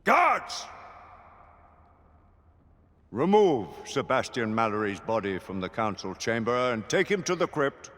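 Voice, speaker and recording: Pompous older british lord chancellor, swings wildly in pitch, big echoey hall, game cutscene. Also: male voice, sing-song, measured pace, long pauses, a bit bright. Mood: commanding. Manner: pompous, formal, oratorical. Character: stern, imperious older male Lord Chancellor of knights. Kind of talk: theatrical game cutscene acting. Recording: long hall reverb.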